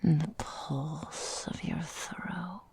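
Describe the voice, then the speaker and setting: A woman playing a seductive, possessive vampire mistress in an intimate ASMR roleplay, breathy and whispery, with formal, languid phrasing. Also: low-pitched, fairly even intonation, drawn-out words, a little mellow, full character voice. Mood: hungry, seductive.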